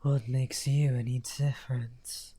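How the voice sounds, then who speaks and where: Man acting a very slow, husky villainous wizard. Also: mid-range voice, little pitch movement, warm tone. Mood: taunting, smug. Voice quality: breathy, husky, often half-whispered. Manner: unhurried, taunting, drawn-out phrasing. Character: misunderstood outcast necromancer wizard villain, smugly amused. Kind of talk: slow theatrical ASMR roleplay.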